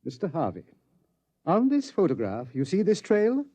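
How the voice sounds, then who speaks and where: An actor playing a nervous astronomer who stammers and gets flustered, in 1950s radio drama style. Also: male voice, high-pitched, wildly swooping pitch, normal speed, warm tone, heavily acted. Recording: dull old radio recording.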